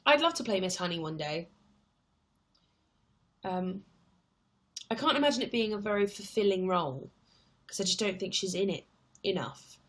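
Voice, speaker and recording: A woman's voice: medium pitch, lively intonation, moderate pace, pauses often. A woman in her twenties, chatting casually on a livestream, reading viewer questions aloud and answering at rambling length. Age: 20s. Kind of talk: casual live chat.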